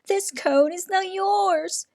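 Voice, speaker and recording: A woman voicing an excitable, greedy young male squirrel in a children's storybook, with emphatic shouted delivery. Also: very high-pitched, slow, dry recording, studio quality, pitched above the performer's own voice, heavily acted. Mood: squabbling, defiant.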